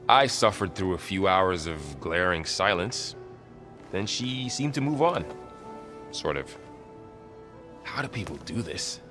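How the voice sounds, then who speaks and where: An earnest, animated young warrior. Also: male voice, deep voice, slow, pauses often, music in the background. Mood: anxious, wry. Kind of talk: naturalistic video game acting.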